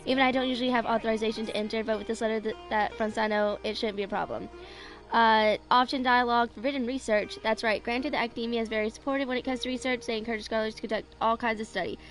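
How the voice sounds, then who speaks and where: Higher-pitched young woman streaming a game. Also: fairly even intonation, faint music behind. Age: 20s. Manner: halting, rambling delivery. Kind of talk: casual livestream chat, reading game dialogue aloud.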